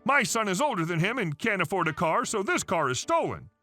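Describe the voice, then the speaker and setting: Male reader voicing an indignant entitled mother, bright and bossy, faint music behind. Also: high voice, sing-song, a little fast, full character voice. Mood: shrill, accusing. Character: loud entitled middle-aged mother, accusing and shrill.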